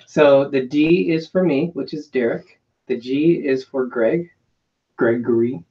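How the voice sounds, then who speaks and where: A man's voice: medium pitch, unhurried. A man co-hosting a travel vlog, chatting casually on a livestream. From England, by home country; says no English accent. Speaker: co-host of a travel vlog channel. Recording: dull, slightly lo-fi livestream sound.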